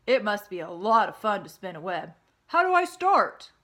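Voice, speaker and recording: A woman's voice: mid-range voice, big pitch swings, normal speed, fairly bright tone. A woman voicing a young male pig in a storybook, eager, naive and boastful, with an earnest, exclamatory way of talking.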